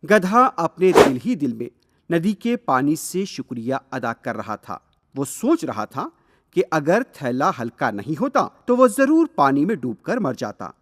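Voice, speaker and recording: A man narrating a scripted children's fable as a storyteller, expressive and deliberate. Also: on the high side. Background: music.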